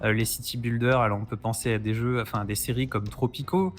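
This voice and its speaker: A man's voice: medium pitch, fairly even intonation, quick pace. A man chatting casually as a podcast guest, explaining retro games with keen interest. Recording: music playing behind the voice.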